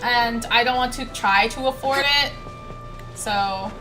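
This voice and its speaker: A young adult woman with a bright, forward voice pitched a little high. She talks casually to viewers as a game streamer, with some music playing behind her. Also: unhurried, rough recording. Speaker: game livestreamer. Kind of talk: casual livestream chat over gameplay. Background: background music.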